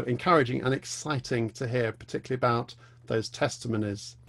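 A man in his forties moderating a video call panel from a living room, friendly and brief in his handovers. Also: medium pitch. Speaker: panel host. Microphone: video call webcam.

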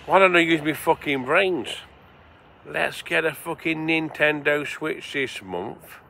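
Sweary rambling man with a gravelly voice. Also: on the high side, slow pace, bright, forceful tone, slight background noise. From England. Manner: rambling, sweary, talks to viewers. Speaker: vlogger. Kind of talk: casual rambling livestream chat. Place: outdoors at night by the seaside.

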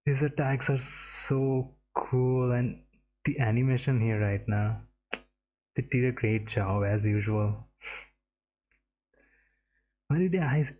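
A man's voice: mid-range voice, takes it slowly, long pauses, darkish tone, muffled recording. A young man from India giving casual reaction commentary as a streamer, on a headset. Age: young adult. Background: game trailer music.

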